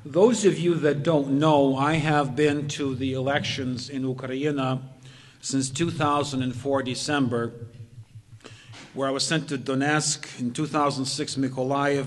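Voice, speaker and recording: Older man presenting at a podium. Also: mid-range voice. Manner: measured, methodical recounting. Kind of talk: lecture presentation. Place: community hall, at a podium. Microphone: podium microphone, far camera. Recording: long reverb of a hall.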